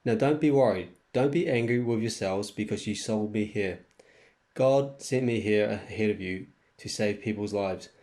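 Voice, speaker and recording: Man as powerful governor, forgiving brother, emotional, earnest declaiming, slightly low voice, amateur Bible drama. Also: normal speed, a little mellow, lightly acted. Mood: earnest, reassuring.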